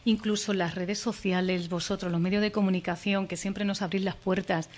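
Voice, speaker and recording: A woman singer from southern Spain with an Andalusian accent, chatting warmly and quickly as a radio guest. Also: medium pitch, steady tone. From Lebrija, Andalusia, Spain. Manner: warm, chatty, quick storytelling with laughs. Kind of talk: casual radio interview.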